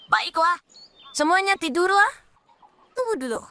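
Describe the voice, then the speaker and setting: A woman's voice: extremely high pitch, big pitch swings, a little slow, sharp tone, full character voice. A woman voicing a cheerful young creature who leads the group in a dubbed children's cartoon. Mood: calm.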